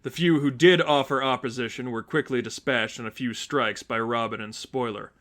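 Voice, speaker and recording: Man narrating a story, mid-pitched voice. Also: fairly even intonation, normal speed, a bit bright. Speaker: fan fiction narrator.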